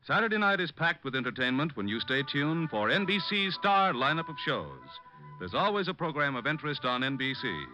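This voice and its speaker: Lively old-time radio announcer man. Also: on the high side, a little fast, rough recording. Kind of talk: 1940s radio announcing, scripted credits. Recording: old radio broadcast with music.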